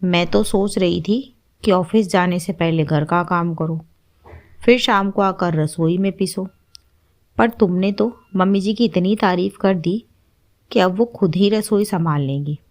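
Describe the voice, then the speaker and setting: A woman's voice: on the low side, measured pace. Young working wife played by a female narrator, light coaxing manner, family story dialogue. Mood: smug, amused. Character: young working daughter-in-law, scheming to dodge housework.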